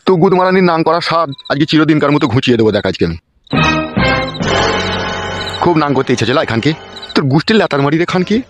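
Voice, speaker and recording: A man's voice: a little high, fast talker, pauses often, faint music behind, lightly acted. A man voicing a jealous, bullying older village husband in a comedy cartoon, cursing and interrogating harshly. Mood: furious.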